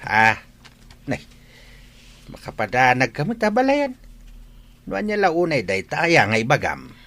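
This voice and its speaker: Actor as a animated older village father. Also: mid-range voice, unhurried, heavily acted. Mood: alarmed. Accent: Ilocano. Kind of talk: radio drama acting in Ilocano.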